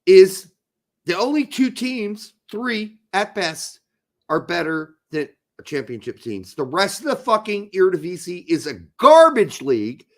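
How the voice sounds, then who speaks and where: A man with a hoarse voice hosting a casual live soccer talk show, emphatic and swearing when fired up. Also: high voice, very animated, measured pace. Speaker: podcast host.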